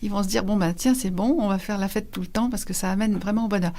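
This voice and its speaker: A woman's voice: medium pitch, quick pace, warm tone, slight background noise. A woman hosting a radio interview, asking leading questions and giving frequent short affirmations in a chatty way.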